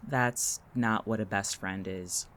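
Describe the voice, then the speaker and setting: A woman's voice: a bass voice, steady tone, slow, fairly bright tone, full character voice. A woman in an audio drama playing a weary, bitter, defiant young man, curt and sardonic. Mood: hostile.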